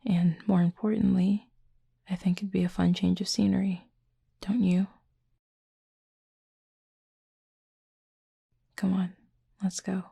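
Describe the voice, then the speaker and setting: A woman playing a reserved, gently teasing cupid roommate in an intimate audio roleplay, speaking softly and breathily in a dry, measured way. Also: medium pitch, flat delivery, vocal fry, husky, heavily acted. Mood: teasing, casual. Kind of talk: intimate roleplay audio drama, addressing the listener.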